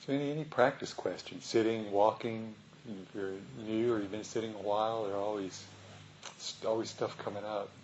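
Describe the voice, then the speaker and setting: Man facilitator, low, short encouraging replies, muffled recording. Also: fairly even intonation, normal speed, darkish tone. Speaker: group facilitator. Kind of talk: casual group discussion. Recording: dull lo-fi with faint noise.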